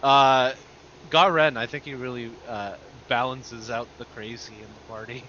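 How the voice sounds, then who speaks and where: A man playing in a tabletop game stream, laughing and animated. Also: medium pitch, normal speed, dull audio, background noise. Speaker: player. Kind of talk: casual chat.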